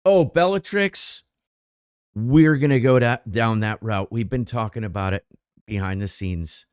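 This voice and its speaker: A man's voice: on the low side, unhurried, clean tone, dry recording, clean studio sound. A middle-aged man co-hosting a podcast, chatting casually in a rambling way with many restarts. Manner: rambling, animated, frequent restarts. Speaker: podcast co-host. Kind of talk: casual chat.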